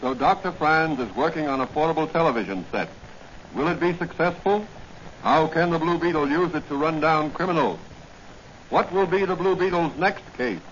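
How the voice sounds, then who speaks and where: A man's voice: a little high, moderate pace, fairly bright tone. A man narrating a 1940s radio serial as its announcer, with a formal, rhetorical delivery. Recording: dull old radio recording, faint noise.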